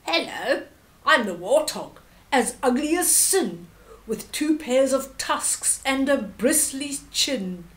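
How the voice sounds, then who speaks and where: A woman's voice: high voice, lively intonation, slow, a bit bright, exaggerated voice. A woman voicing a dumpy, warty warthog in a storybook, emphatically boasting of its ugliness. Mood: boastful. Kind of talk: storybook character reading.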